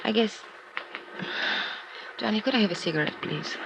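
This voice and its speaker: Scheming femme fatale, swooping pitch. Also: female voice, lively intonation, normal speed, creaky. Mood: shaken, subdued. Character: scheming femme fatale feigning shocked innocence. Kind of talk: 1950s radio noir acting. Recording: noisy old radio drama recording.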